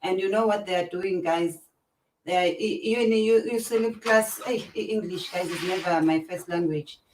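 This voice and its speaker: A woman with a South African accent hosting an interview, speaking in a halting way with many fillers. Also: lowish voice, background noise. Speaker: host. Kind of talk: casual interview chat. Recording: distant, echoey room sound.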